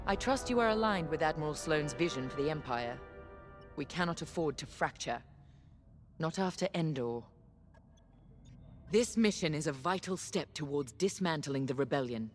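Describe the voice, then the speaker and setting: Low-voiced, stern military commander. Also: female voice. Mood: stern, resolute. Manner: formal, authoritative, crisp orders. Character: stern, commanding female Imperial military officer, loyal and severe. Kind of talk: video game briefing, dramatic acting.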